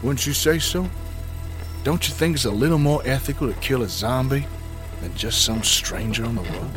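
A man's voice: mid-range voice, normal speed, over music, close to an everyday voice. A man playing a nostalgic, moralizing zombie killer who muses talkatively in an audio drama. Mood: musing, needling. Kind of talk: audio drama trailer acting.

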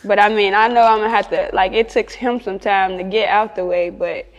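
A woman's voice: mid-range voice, steady tone, brisk, in a large hall, dull audio. A woman with a Chicago accent chatting casually as an interview guest, candid and slangy. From Chicago, United States. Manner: candid, slangy, often chuckling.

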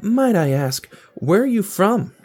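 A man acts out an awkward, burnt-out office worker in a radio play. His pitch moves around a lot as he confides earnestly and hesitantly, quick to apologize. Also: sing-song, darkish tone, slightly rough, full character voice. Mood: apologetic. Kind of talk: audio drama acting.

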